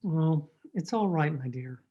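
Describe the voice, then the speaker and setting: A man playing a mild, reassuring husband in a radio play, calm and reasoning, with a muffled, husky voice. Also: fairly high pitch, measured pace. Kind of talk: old-time radio play acting. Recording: dull, muffled home connection.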